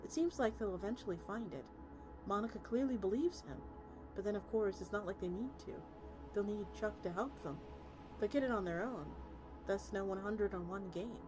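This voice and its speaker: A woman VTuber chatting and reacting on a livestream in an excitable way, prone to blurting exclamations and swearing. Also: mid-range voice, normal speed, low-quality recording. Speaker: VTuber streamer. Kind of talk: livestream reaction chat and reading aloud. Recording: loud music behind the voice. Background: music.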